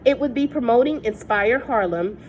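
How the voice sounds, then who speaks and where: A woman's voice: fairly high pitch, expressive, moderate pace, slight background noise, lightly acted, rough recording. A woman voicing a confident teenage girl activist who speaks in a matter-of-fact, earnest way. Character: confident teen girl activist, self-assured.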